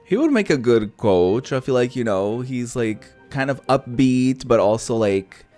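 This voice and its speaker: A man's voice: medium pitch, expressive, a little slow, a little mellow, soft background music. A man giving casual, sassy, digressive game commentary in slangy speech. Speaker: gaming streamer.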